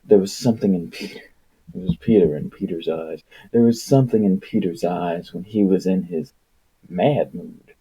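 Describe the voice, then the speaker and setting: A man's voice: deep voice, warm tone, husky, rough recording. A man reading a story aloud as an amateur narrator, breaking in with irreverent, wry asides. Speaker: amateur book reader.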